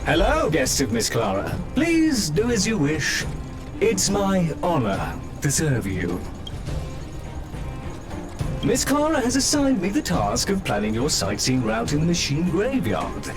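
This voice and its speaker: A man's voice: sits high, huge pitch swings, unhurried, exaggerated voice. A man voicing an eager, officious robot tour guide in a video game, with formal, sing-song announcer phrasing. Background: game background music.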